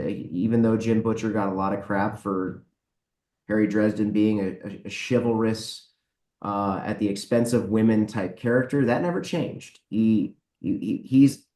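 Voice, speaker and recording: A man with a low voice speaks in a casual podcast discussion, offering firm opinions with emphasis. He sounds set back from the microphone, in a roomy, echoing space. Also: a little mellow. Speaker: author and podcast panellist. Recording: roomy echo, distant from mic.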